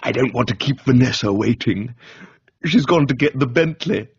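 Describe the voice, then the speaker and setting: Guy doing a hearty blustering english chap, gravelly and husky, emphatic, radio comedy story. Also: medium pitch, animated, moderate pace, no echo, muffled recording. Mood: jubilant.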